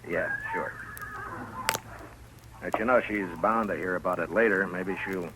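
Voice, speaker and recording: An American actor playing a steady, dogged Old West marshal, laconic and firm, in 1950s radio drama style. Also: male voice, deep voice, a little slow. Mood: polite, probing. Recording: noisy old radio recording.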